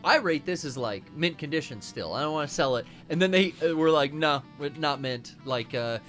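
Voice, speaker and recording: A man's voice: fairly high pitch, moderate pace, faint music behind. A man co-hosting a livestream, chatting casually and trash-talking the enemies in the game he plays. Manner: animated, swearing, trash-talks game enemies. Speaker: co-host playing a video game. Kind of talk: casual livestream chat and gameplay.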